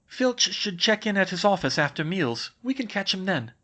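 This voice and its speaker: A bright, earnest schoolgirl, as a man puts her on in a raised voice. She talks briskly and politely, pressing her case. Her pitch moves around a lot. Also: very animated, exaggerated voice. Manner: brisk, persuasive.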